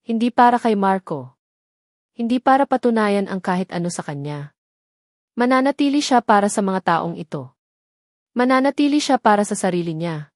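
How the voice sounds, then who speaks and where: A woman narrator with a Filipino accent delivers a scripted, dramatic story. Her voice sits at a middle pitch. The sound is close and dry, with no room around it. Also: expressive, normal speed, long pauses, no room sound.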